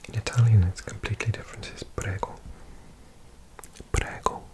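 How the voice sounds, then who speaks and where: A person giving an ASMR language lesson in a breathy whisper, softly repeating words in an unhurried way. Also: mid-range voice, steady tone. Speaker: language teacher.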